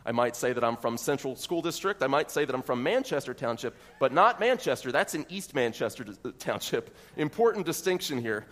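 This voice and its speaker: A man's voice: mid-range voice, animated, a little fast, few pauses, fairly bright tone, long reverb tail. An American man from central Pennsylvania preaching an expository sermon as pastor, earnest and methodical, often posing rhetorical questions. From central Pennsylvania, USA.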